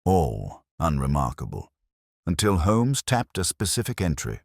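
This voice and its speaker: A male narrator with a very deep voice reads a detective tale. His intonation moves widely, with measured, dramatic phrasing. The sound is dry, with little room to it. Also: sing-song, creaky, no echo. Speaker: audiobook narrator. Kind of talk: scripted detective story narration.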